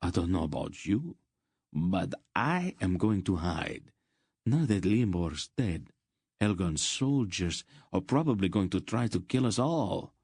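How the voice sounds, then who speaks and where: A man's voice: low-pitched, sing-song, a little slow, a little mellow, breathy edge, no room sound, clean studio sound, heavily acted. A man voicing a second merchant, blustering and agitated as he talks.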